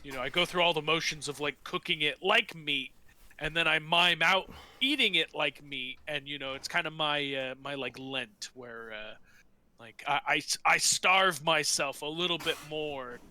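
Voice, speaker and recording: A man with a fairly high, very bright and forceful voice plays a tabletop game. He rambles casually and animatedly, improvising as he goes and swearing now and then. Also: moderate pace, no room sound.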